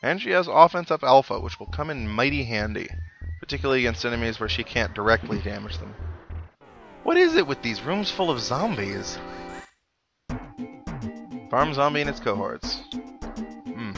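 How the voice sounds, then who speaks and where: A man's voice: medium pitch, gravelly. A man giving casual video game commentary as a let's player, with wry, self-deprecating asides.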